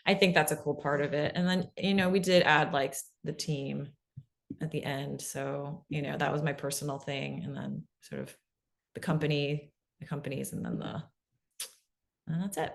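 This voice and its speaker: A woman's voice: low-pitched, fairly even intonation, moderate pace, creaky. A woman giving an informal workshop talk and answering questions, encouraging, with lots of "like" and "right?" in her speech. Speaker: presenter answering audience questions.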